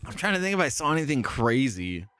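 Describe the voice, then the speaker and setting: A man telling lively anecdotes in a casual interview chat, laughing often and acting out the people in his stories. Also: medium pitch, fast, no room sound, soft background music. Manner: animated storyteller, laughs often, acts out people he quotes.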